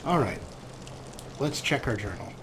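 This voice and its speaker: A man's voice: mid-range voice, lively intonation, measured pace, noisy background. A man hosting a game let's play, commenting in a sarcastic, self-mocking way with casual swearing. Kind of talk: gameplay commentary.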